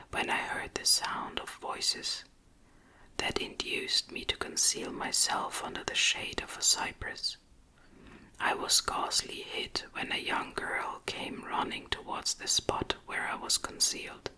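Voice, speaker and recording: Female whisper narrator for gothic literature: fully unvoiced, very bright, breathy, measured and gentle ASMR delivery, close-miked. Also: rough recording. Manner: gentle, measured, deliberate phrasing. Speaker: audiobook reader. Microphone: close microphone.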